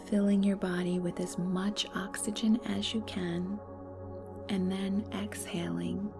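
A woman leading a guided meditation in a soft, breathy, soothing and unhurried way. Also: on the low side. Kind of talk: slow guided meditation narration. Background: meditation music.

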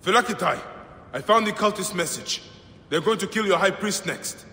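An actor playing a stern, principled male desert warrior and protector in a video game, speaking in a formal, measured way. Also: high-pitched, expressive, sharp tone, husky, heavily acted. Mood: urgent. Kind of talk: dramatic game cutscene dialogue.

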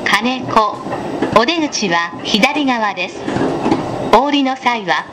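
A woman giving a polite, measured, scripted automated announcement over a train's speaker. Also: animated. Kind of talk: scripted public transit announcement. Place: inside a moving train carriage. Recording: loud train rumble over onboard speaker. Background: train running noise.

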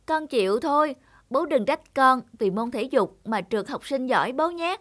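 A woman's voice: sits high, animated, moderate pace, a bit bright. A woman voicing a bookish, grumbling skinny schoolboy in a cartoon, with a plaintive manner. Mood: affectionate. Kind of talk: dubbed cartoon acting.

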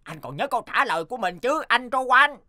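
Woman acting a bright, showy male game show host. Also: a little high, animated, fast, dry recording, studio quality. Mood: announcing. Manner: formal, showy announcing. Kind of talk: animated comedy cartoon dub.

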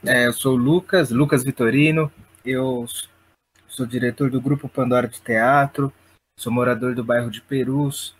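A man's voice: medium pitch, steady tone, normal speed, breathy edge, low-quality recording. A man with a São Paulo Brazilian accent speaking casually as an interview guest, hesitant and often checking in as he explains. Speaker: guest, theatre director and playwright.

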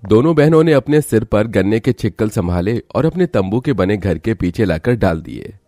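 A man's voice: on the low side, expressive, moderate pace, dark tone, soft background music. A man narrating a scripted moral story as a storyteller.

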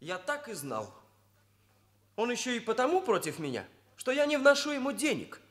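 A man's voice: very high voice, huge pitch swings, moderate pace, fairly bright tone, exaggerated voice. An actor in a Soviet radio drama playing a proud, earnest young male hairdresser who speaks in stiffly formal, bookish phrases. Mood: indignant.

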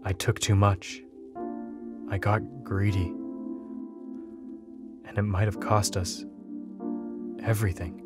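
A weary, remorseful man played by an actor gives his final log in a low voice. He is grave and full of regret, in audio drama style, with music loud behind him. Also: little pitch movement, slow pace, pauses often. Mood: regretful. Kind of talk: audio drama acting, journal reading.

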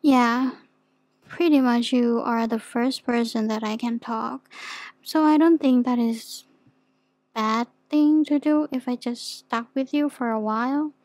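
Woman playing a soft, slow-talking naive demon girl. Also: fairly high pitch, steady tone, dry recording, close to an everyday voice. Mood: shy, insecure. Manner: hesitant, innocent, gently questioning. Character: soft, naive, insecure young female demon. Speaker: ASMR roleplay performer. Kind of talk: soft ASMR roleplay.

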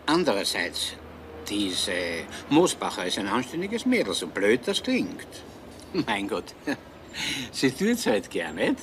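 An actor playing a genial, talkative middle-aged police inspector with a Viennese accent in a radio crime drama. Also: male voice, lowish voice. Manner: chatty, easygoing, lively. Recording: hissy old radio recording.

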